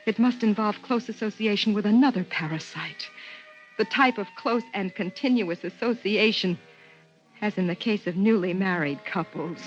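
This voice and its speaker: 1950s radio drama: troubled, sharp woman biologist played by an actress, unflinching, dated muffled sound, faint music. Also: medium pitch, natural voice. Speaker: actress and first-person narrator.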